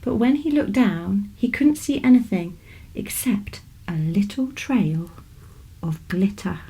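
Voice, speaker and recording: British lady narrating a kids picture book, medium pitch, expressive storyteller. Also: slow pace, husky, low-quality recording. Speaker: author reading aloud. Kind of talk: scripted children's story narration.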